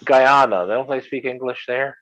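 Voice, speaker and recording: A man guesting on a podcast in casual chat, cracking dry, deadpan jokes. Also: medium pitch, moderate pace. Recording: dull lo-fi audio.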